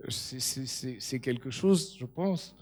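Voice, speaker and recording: A man on a business panel, speaking slowly and halting with repetitions. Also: medium pitch, lively intonation, a little mellow. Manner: slow, halting.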